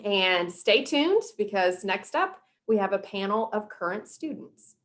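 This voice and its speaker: A woman's voice: mid-range voice, lively intonation, unhurried, a bit bright. A woman hosting an online college event, speaking upbeat to prospective students. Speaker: event host.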